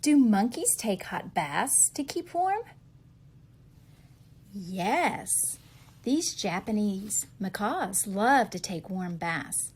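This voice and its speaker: Woman doing a kids book read-aloud, slow and swoopy, bit husky. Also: medium pitch. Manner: expressive question-and-answer delivery, carefully paced for children. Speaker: read-aloud reader for young children.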